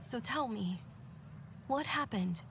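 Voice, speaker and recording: An actress playing a gentle, thoughtful young noblewoman in a video game, speaking politely and with soft formality. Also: a little high, animated, slow, creaky, background noise, heavily acted. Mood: concerned. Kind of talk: English video game voice acting. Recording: game dialogue over background music. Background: music.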